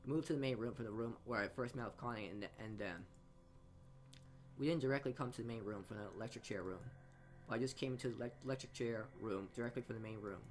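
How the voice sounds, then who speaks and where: Halting man reading a game story aloud. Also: medium pitch, a little hiss or hum. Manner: halting, stumbling over words and re-reading. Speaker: let's play host reading a visual novel aloud. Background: game music.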